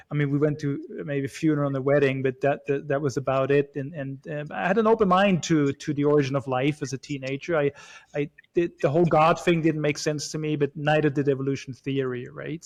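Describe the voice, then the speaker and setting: A man in his forties from Switzerland, chatting as a guest, talking haltingly with many restarts and fillers. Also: a little high, darkish tone. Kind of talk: casual chat.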